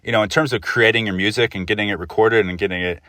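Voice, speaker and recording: A man's voice: on the low side, fast talker, bright, forceful tone, dry recording, clean studio sound. A man hosting a casual interview, asking loose, meandering questions in a conversational way.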